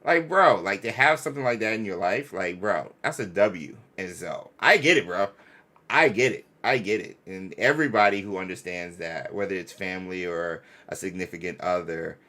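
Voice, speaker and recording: A man with a fairly low voice hosts a casual live stream. He talks in loose, effusive slang, tossing in "bro" often, like a gamer chatting with his community. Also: sharp tone. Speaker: host of a gaming fan channel.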